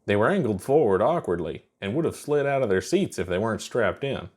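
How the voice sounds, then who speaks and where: Lower-pitched man narrating a story. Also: lively intonation, a little fast, darkish tone.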